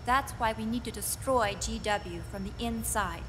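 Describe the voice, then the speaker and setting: A woman playing an earnest, authoritative military officer giving a formal, measured briefing in a video game. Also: a little high, background noise, natural voice. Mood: firm, businesslike. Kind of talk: video game cutscene acting. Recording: hall reverb, music behind.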